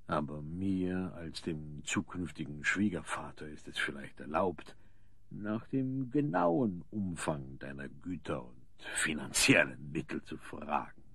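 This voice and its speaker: A genteel, calculating landowner in a radio play. His voice sits very low and has a husky grain, and he chooses his formal words with deliberate hesitation. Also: big pitch swings, a bit bright, no echo, full character voice. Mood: genial, calculating. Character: genteel, calculating wealthy landowner and future father-in-law.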